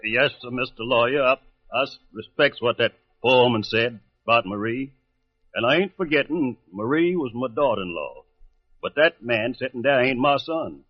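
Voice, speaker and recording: Male actor as humble elderly countryman, earnest and folksy, lively swings in tone, dull old radio drama sound. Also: mid-range voice, normal speed, lightly acted. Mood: earnest, firm. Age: older. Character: humble old country father, earnest, respectful but firm. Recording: muffled vintage radio recording with music.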